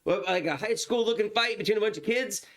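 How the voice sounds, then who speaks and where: Podcast dude, kinda high voice, incredulous, cusses a lot. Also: male voice, fast talker, a bit bright. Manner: incredulous, swears freely. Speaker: podcast host. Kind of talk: casual chat.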